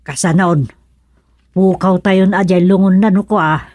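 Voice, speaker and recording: A woman's voice: fairly low pitch, fairly even intonation, a little slow, darkish tone, dry recording. A woman playing a fretful, easily alarmed woman in an Ilocano radio comedy, full of worried exclamations. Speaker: radio drama character.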